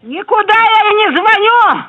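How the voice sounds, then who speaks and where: Woman in her nineties, extremely high voice, foul-mouthed and combative, on a tinny phone line. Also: animated, unhurried. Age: 90s. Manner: crude, quarrelsome, heavy swearing. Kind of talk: profane, indignant phone argument.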